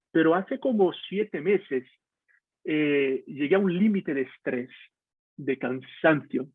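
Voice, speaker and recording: A man's voice: on the high side, slightly rough, dry recording. A man from Brazil, a pastor, speaking candidly and directly to his listeners in a personal devotional talk. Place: home, video call. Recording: tinny phone-like online call audio.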